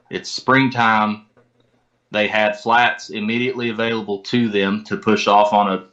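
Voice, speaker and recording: Low, bright-voiced man chatting casually. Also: highs cut off. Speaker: podcast guest, tournament kayak angler. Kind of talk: casual interview chat.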